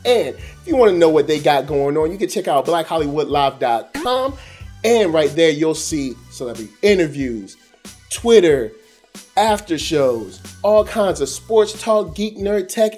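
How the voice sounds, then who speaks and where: American male host, fairly high voice, conversational slangy commentary, light music behind. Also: darkish tone, breathy edge. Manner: conversational, slangy, addressing viewers directly. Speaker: host of an animation review show. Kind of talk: casual review commentary to camera.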